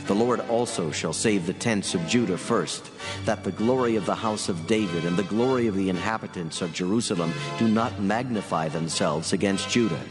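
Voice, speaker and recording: An actor playing a mighty, solemn God of scripture, declaiming with grave weight in a dramatized reading. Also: male voice, low-pitched, close to an everyday voice. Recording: long cavernous reverb. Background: music.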